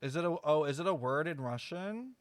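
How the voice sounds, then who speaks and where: A man's voice: a little high, animated, brisk, dry recording. Informal man streaming, dry close sound. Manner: informal, slangy, muses aloud. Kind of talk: casual live game stream commentary.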